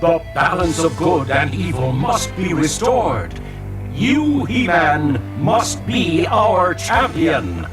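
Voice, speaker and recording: Magical cosmic guardian, slow and solemn, swooping cartoon voice over music. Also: male voice, on the high side, very animated. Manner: solemn, portentous. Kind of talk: 1980s children's cartoon acting.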